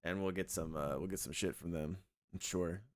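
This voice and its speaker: A young man streaming a game and chatting loosely with his viewers, his voice a little scratchy from yelling the night before. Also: low voice, normal speed. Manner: relaxed, rambling, talks to chat. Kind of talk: casual livestream chat.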